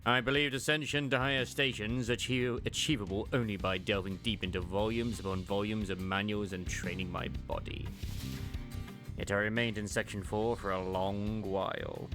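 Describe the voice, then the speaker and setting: A man voicing a bookish, reserved martial archivist in a game fan dub, speaking in formal, archaic, measured phrases with gentle wistfulness. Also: fairly low pitch, natural voice. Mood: pensive, self-reproachful. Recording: music under the voice.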